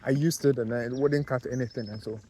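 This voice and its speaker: Halting Ghanaian man talking casually. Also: mid-range voice, fairly even intonation, mellow, slight background noise. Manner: halting, many fillers and restarts. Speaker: vlogger, car detailer. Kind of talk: casual talk to camera.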